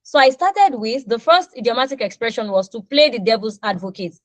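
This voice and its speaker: Nigerian accent, woman, thirties, online English lesson, animated, higher pitch. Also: a little fast, no room sound. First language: Yoruba. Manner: animated, acts out little dialogues with students. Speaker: English teacher. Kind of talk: online class lecture.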